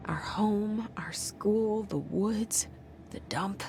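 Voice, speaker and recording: A woman playing a guarded, determined agency director in a video game, speaking in a measured, hushed, introspective voice that slips toward a whisper. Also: mid-range voice, a little hiss or hum. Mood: haunted, hushed. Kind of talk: video game cutscene acting, inner monologue.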